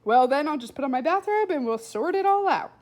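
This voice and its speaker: Woman acting pedantic, wordy boy, lively pitch. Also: fairly quick. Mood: philosophical, emphatic. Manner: formal, pedantic, verbose. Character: temporarily blind, wordy, unflappable, philosophizing boy.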